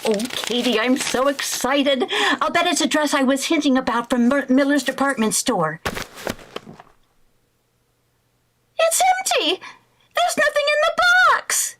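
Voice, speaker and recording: Woman playing a high-pitched, excitable radio sitcom housewife. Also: moderate pace, slight background noise. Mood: excited. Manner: theatrical, exclamatory, mock-innocent. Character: excitable, scheming, flighty housewife in a radio comedy. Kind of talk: 1940s radio sitcom acting.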